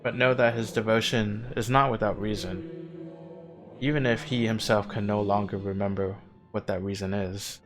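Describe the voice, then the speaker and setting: An actor playing the courteous, wistful spirit of an ancient man in a video game cutscene, speaking in formal, measured, gently wry phrases. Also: lowish voice, steady tone, lightly acted. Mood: gently solemn. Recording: game dialogue with music underneath. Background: background music.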